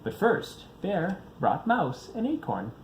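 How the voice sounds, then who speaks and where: A man's voice: high-pitched, wildly swooping pitch, slow pace, slight background noise. A father reading a children's picture book aloud, expressively, varying his pace for young listeners.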